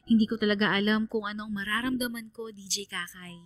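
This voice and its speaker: A woman's voice: mid-range voice, steady tone, fast, no room sound, close to an everyday voice. An actress playing a reflective, shy female student who tells her story as radio drama narration. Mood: anxious, tender. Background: music under the voice.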